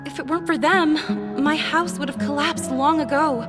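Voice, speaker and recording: A woman's voice: high-pitched, fairly even intonation, moderate pace, close to an everyday voice. A woman voicing a nervous, guarded villager. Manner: hesitant, polite. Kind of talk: video game dub. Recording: long reverb. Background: music.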